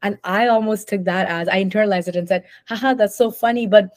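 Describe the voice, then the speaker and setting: A young woman from India with a softened Indian English accent, a podcast host talking in an earnest, engaged way. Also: mid-range voice, quick pace, dry recording, clean studio sound. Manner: earnest, engaged, lots of likes. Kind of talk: conversational interview.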